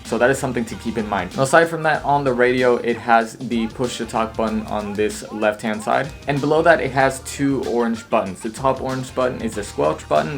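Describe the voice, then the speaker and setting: Casual young man reviewing gear. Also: mid-range voice. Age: young adult. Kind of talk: casual product review vlog. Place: home room studio, later outdoors on a street.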